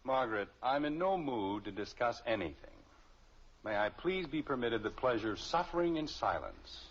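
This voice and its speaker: Exasperated American radio dad, swooping pitch. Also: male voice, sing-song, normal speed, slightly rough. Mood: stern, weary. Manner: sputtering, sarcastic, hesitant when cornered. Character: exasperated suburban father, easily flustered, fond of his children. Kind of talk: 1950s radio sitcom acting. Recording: muffled, lightly noisy old radio recording.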